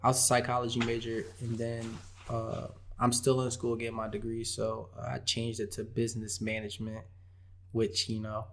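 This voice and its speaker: A man's voice: medium pitch, completely flat delivery, moderate pace, slight background noise. A man, a podcast guest talking casually and saying "you know" constantly. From Philadelphia. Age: 30s. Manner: leans heavily on "you know". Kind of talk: casual interview chat.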